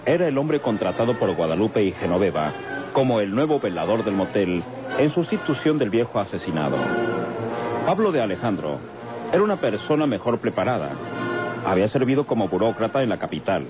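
An actor as the solemn narrator of an old radio soap, telling the story gravely and formally. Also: male voice, fairly low pitch, big pitch swings, brisk. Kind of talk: scripted dramatic radio narration. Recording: tinny old radio recording, loud music.

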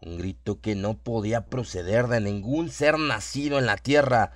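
A man's voice: on the low side, moderate pace. A man reading scripted horror fiction as the dramatic narrator of a radio play.

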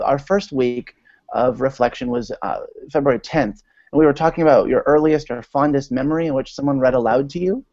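A man's voice: medium pitch, a little mellow, no room sound. An American man in his thirties giving an informal, chatty and enthusiastic presentation as a guest on an online video call. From United States, Maryland. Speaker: guest speaker, literacy advocate. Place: small room at home, indoors. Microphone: video call with earphones. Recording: dull lo-fi video call audio.

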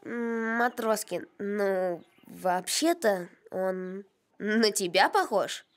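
A woman voicing a calm, sensible young farm boy in a cartoon, with a gentle, thoughtful, measured manner. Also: medium pitch. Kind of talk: animated cartoon voice acting.

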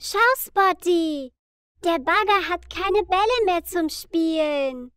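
High-pitched cheerful little cartoon girl. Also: a bit bright, dry recording, studio quality, soft background music, full character voice. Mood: cheerful, encouraging. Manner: simple, deliberate questions addressed to young viewers. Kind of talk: slow, clear children's educational cartoon dialogue.

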